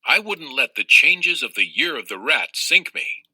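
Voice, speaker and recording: A man reading a children's novel aloud in an expressive storytelling style. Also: medium pitch, a little slow, dry recording, low-quality recording. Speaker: audiobook reader of a children's novel.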